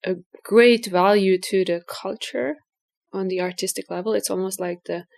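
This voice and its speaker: A woman's voice: mid-range voice, steady tone, normal speed, creaky, a little mellow. Hungarian-accented woman, hesitant and thoughtful. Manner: hesitant, thinking aloud with restarts and fillers. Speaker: guest artist. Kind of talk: casual interview.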